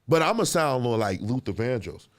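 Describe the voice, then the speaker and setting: A man's voice: mid-range voice, moderate pace. A man who is a gospel musician and producer, hosting casual reaction commentary, chatty and repeating himself for emphasis. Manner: animated, chatty, laughs often; talks straight to viewers, repeats himself for emphasis. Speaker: host reacting to clips.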